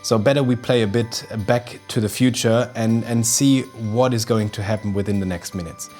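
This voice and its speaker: A man's voice: lowish voice, fairly even intonation, normal speed, darkish tone. German-accented male photographer, 30s, casual to-camera tutorial host, eager, frequent restarts, non-native English, lapel mic in a studio kitchen, faint music underneath. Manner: eager, restarts often, searches for English words. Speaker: professional photographer presenting a tutorial. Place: indoor studio kitchen set with festive decorations.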